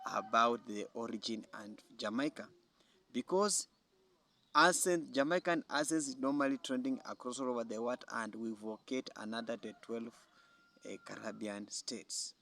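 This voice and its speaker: A man presenting casually as a reaction video host, with halting, non-native English phrasing. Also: mid-range voice, pushed and bright.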